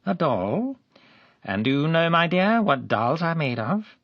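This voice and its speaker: A man's voice: on the high side, big pitch swings, measured pace, no echo, voice pushed up. A man playing a fawning, lecherous middle-aged official who turns harsh and scolding, read in a storybook. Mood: wheedling. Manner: wheedling, then harsh and scolding. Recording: dull lo-fi recording.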